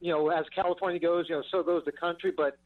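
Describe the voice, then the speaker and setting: A man's voice: on the high side, steady tone, fast. An older man calling in to a talk show, sounding frustrated and stammering through restarts. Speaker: caller. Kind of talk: phone-in call to a talk show. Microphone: phone. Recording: tinny distant phone line.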